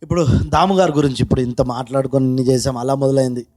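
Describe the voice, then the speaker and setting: A man's voice: medium pitch, very fast, darkish tone, dry recording, clean studio sound. A man asking questions casually as an interviewer.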